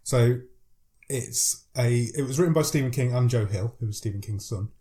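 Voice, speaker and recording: A man's voice: mid-range voice, moderate pace, dark tone. A man with a cold co-hosting a podcast, chatting casually and laughing often as he runs through news. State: has a cold. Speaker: podcast co-host. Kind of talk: casual chat.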